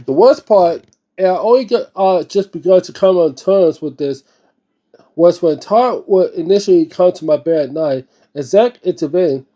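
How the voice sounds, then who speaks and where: A man's voice: high-pitched, expressive, normal speed, dark tone. A man reading a book aloud in an informal way, stumbling over words here and there and adding casual remarks of his own. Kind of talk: read-aloud narration with casual, off-the-cuff reactions.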